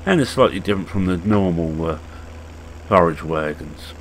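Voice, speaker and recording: Croaky low-voiced older man. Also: slight background noise. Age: 60s. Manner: unhurried, rambling, halting with fillers. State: hoarse, croaky voice. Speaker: farming game video maker. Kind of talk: casual gameplay commentary.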